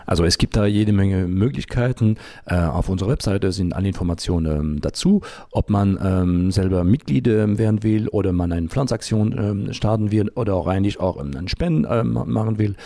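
Low, creaky, halting man. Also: dark tone, no room sound, clean studio sound. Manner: halting, searching for words with frequent hesitations. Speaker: interview guest, environmental group founder. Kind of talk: unscripted interview answers.

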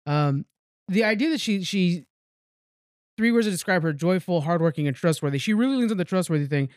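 Guy podcast host chatting about tv, talks really fast, close dry sound. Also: high-pitched, expressive, dry recording. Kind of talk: casual TV recap chat.

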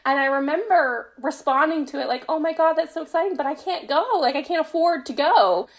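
A woman's voice: high-pitched, brisk. A young American woman telling personal stories in casual conversation as a podcast guest, with a modest, self-deprecating manner. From United States. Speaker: podcast guest, fan fiction writer. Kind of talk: casual chat, personal anecdotes.

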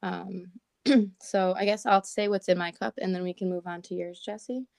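A woman with a raspy morning voice chatting casually as a podcast co-host, talking with lots of "like" and "um". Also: medium pitch, steady tone, moderate pace, a little mellow, no echo, studio quality. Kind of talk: casual chat. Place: at home in Portland.

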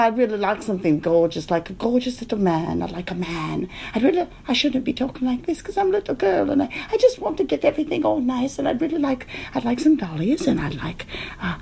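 A woman's voice: fairly high pitch, vocal fry, warm tone, a little hiss or hum. A woman voicing a greedy, cheeky little girl in a nightgown, who talks in a breathless, giggly way and swears.

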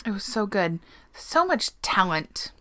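A woman's voice: medium pitch, very animated, unhurried, bright, forceful tone, no echo. A young adult woman chatting casually as a co-host, gushing and laughing, sometimes dropping to a whisper. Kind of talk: casual chat.

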